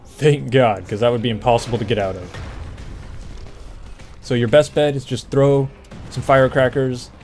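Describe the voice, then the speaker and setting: A man's voice: mid-range voice, fairly even intonation, normal speed, pauses often, a little mellow, soft background music. A man doing casual, conversational gameplay commentary, explaining things as he plays, over game ambience. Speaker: gameplay walkthrough host. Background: video game ambience.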